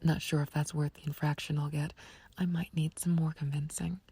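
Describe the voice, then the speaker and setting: A woman playing a smug, taunting, playfully flirtatious villain in an ASMR roleplay, with a husky, breathy low voice and a teasing, unhurried, condescending manner. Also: little pitch movement, dark tone, no echo, heavily acted. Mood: teasing, reluctant bargaining. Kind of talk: ASMR roleplay audio drama.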